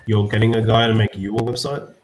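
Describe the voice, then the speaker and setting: Low-voiced man making dry remarks. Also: little pitch movement, quick pace, a little mellow, soft background music. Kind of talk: casual chat.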